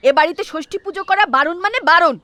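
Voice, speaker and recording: A woman's voice: very high-pitched, quick pace, sharp tone, dry recording. A woman voicing a stern, secretive elderly grandmother in a cartoon, with a West Bengali accent and an emphatic, insistent delivery. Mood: cross, scolding. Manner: emphatic, insistent, repeats phrases. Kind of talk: dramatic cartoon voice acting.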